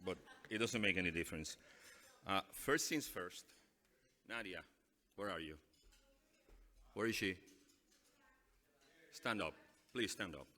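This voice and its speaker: A deep-voiced man speaks off the cuff at a dinner gala, earnest and forceful, restarting and repeating himself. His voice booms through a PA into a banquet hall with a long, trailing reverb. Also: expressive. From Dominican Republic. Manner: earnest, halting, repeats phrases. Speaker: award honoree giving a speech. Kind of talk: unscripted speech at a benefit dinner.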